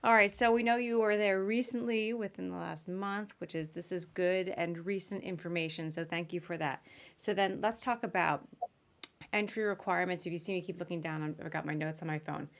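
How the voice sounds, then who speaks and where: Chatty woman hosting, lowish voice. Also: fairly even intonation, fairly quick. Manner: informal, chatty. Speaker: podcast host. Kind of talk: casual live interview.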